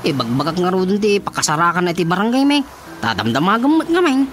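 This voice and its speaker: A man's voice: sits high, sing-song, brisk, fairly bright tone, a little hiss or hum. An actor with an Ilocano Filipino accent playing a chatty, cheeky young male relative in a radio drama comedy. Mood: pleading, exasperated.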